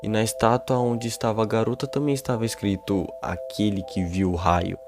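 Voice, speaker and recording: A man's voice: fairly low pitch, fairly even intonation, fairly quick. A man with a Brazilian accent narrating and explaining a horror video in an informal way. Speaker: YouTube narrator. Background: music.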